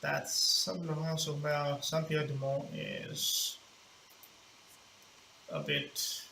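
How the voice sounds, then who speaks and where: A man's voice: a little high, flat delivery, slow pace, creaky. A man whose first language is not English, casually commenting on a flight-sim game as he plays, thinking aloud and correcting himself. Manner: thinking aloud, unsure of names, self-correcting. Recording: roomy, distant mic, loud hum.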